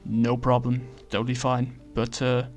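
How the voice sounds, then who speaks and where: A man casually reviewing a comic book, talking in a halting way with many fillers and restarts. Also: mid-range voice, completely flat delivery, faint music behind.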